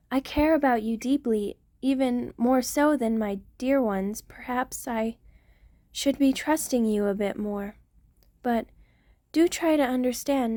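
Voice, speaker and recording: A woman playing a centuries-old vampire queen, tender, protective and remorseful, speaking softly and formally in an intimate ASMR roleplay. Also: a little high, lightly acted. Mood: protective, devoted. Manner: soft, formal, confessional, hesitant phrasing.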